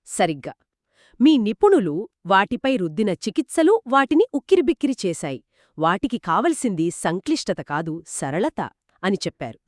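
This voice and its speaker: A woman's voice: mid-range voice, very animated, moderate pace, dry recording, studio quality. A woman co-hosting a conversational discussion of a story, explaining it as a storyteller would. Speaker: podcast co-host.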